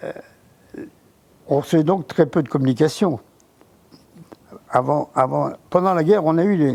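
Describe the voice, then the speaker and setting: An older man recalling his childhood in an interview, halting, with many false starts. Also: on the high side, gravelly, a little mellow. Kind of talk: reminiscing interview.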